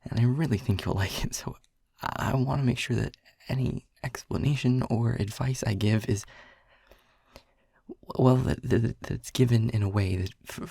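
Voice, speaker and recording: A man plays a shy, flustered young male air elemental speaking one-to-one in an audio roleplay. His voice is breathy and husky, with some gravelly fry, at an ordinary male pitch. He stammers and repeats words in an earnest, nervous way, recorded very dry and close. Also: dark tone, no echo. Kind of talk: intimate audio roleplay addressed to the listener.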